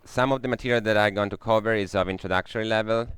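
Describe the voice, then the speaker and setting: A young man with an Italian accent gives a technical talk in a lecture room, pausing often on "uh" and restarting his sentences. His voice sits low and deep and comes through smooth and clear. The sound is close and dry, with little room in it. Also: steady tone, a bit bright, dry recording. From Italy. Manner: hesitant, many fillers and restarts. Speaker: conference speaker.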